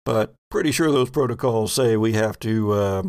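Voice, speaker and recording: A man playing a steady, businesslike spaceship captain in a game, giving orders haltingly with many pauses. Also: on the low side, clean tone, natural voice. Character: salvage ship captain, male, steady, businesslike, hesitant.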